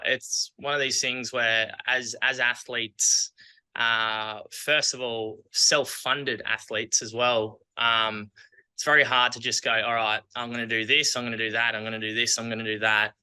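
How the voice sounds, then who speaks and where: Aussie guy chatting as an interview guest, really bright forward voice, clean and resonant. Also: medium pitch, clear, resonant tone, poor audio. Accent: Australian. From Australia. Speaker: interview guest, athlete and coach. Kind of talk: casual interview chat.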